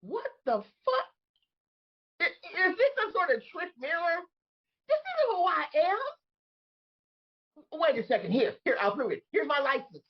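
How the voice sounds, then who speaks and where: A woman playing an aggrieved, bewildered disgraced crony with a husky voice in a comedic table read. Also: very high voice, very animated, measured pace, long pauses, lo-fi sound, heavily acted. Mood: bewildered, distressed. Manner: indignant, emphatic, dramatic. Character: Rudy, aggrieved, bewildered disgraced man trapped in woman's body.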